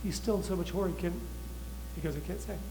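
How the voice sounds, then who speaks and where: A man's voice: high-pitched, fast talker, creaky, background noise. A man, a talk show guest, speaking urgently and emphatically, with frequent restarts. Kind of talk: impassioned talk show monologue.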